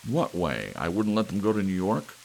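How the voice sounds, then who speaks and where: An uneasy, stubborn father, played by a male narrator, speaks tersely and defensively. The voice is deep with a gravelly edge. Faint rain noise runs behind this storybook reading. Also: huge pitch swings, normal speed, a little mellow, exaggerated voice, rough recording. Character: uneasy, stubborn father at odds with his household. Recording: audible hiss with rain-like noise.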